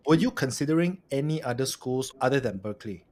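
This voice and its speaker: A man's voice: medium pitch, animated, fairly quick, a little mellow. A man, hosting a casual interview in a conversational, inquisitive way and following up with questions. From Malaysia. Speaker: podcast host.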